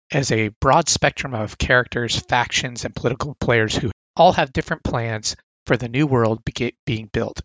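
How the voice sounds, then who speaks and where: A man's voice: medium pitch, fairly bright tone, no room sound, poor audio. A man with a sore throat casually reviewing comic catalog picks, reading blurbs aloud with enthusiastic asides.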